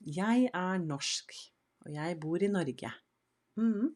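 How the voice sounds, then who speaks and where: A woman's voice: on the low side, animated, slow pace, darkish tone. A middle-aged woman from Sweden, a native Swedish speaker, hosting a casual live stream and chatting as she reads out viewer questions. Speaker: host.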